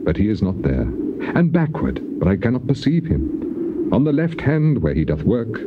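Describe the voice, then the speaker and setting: A man's voice: lowish voice, sing-song, normal speed. An actor playing a suffering, aggrieved and devout biblical man in a solemn, declamatory scripture drama, on an old cassette recording. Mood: troubled, devout. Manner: declamatory, anguished, archaic formal phrasing. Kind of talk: dramatized scripture reading, solemn theatrical delivery. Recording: dull, very noisy old cassette tape.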